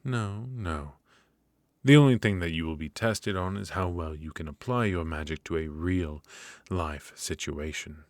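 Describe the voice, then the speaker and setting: A cold, exacting royal professor of magic, voiced by a man, lecturing his pupil in a measured, stern manner. The voice sits very low and deep, close to the mic in a dry, dead room with no echo. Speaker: voice actor in ASMR roleplay. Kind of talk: ASMR roleplay.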